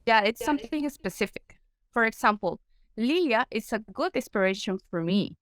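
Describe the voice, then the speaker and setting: A woman's voice: on the high side, lively intonation, dry recording. Woman with a Peruvian Spanish accent. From Peru. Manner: halting, searching for English words. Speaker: guest consultant, periodontist. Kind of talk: interview answers.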